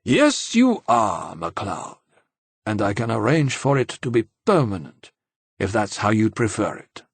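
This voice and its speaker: A man's voice: fairly low pitch, sing-song, a little slow, gravelly, breathy edge, no echo, full character voice. A man voicing a fussy, pompous male university president in an audiobook, testy and scolding. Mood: stern. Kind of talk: audiobook character acting.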